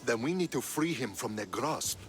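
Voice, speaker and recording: An actor playing a measured, principled male Spartan commander in a game cutscene who argues calmly and persuasively. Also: mid-range voice, slight background noise, natural voice. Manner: calm, persuasive. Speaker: Spartan general.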